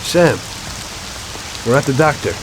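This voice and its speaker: Game mafia soldier male actor: American, steady, measured, sceptical, medium pitch, very noisy rainy ambience. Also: close to an everyday voice. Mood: alert. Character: steady, sceptical 1930s mafia driver, level-headed. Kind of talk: video game cutscene acting.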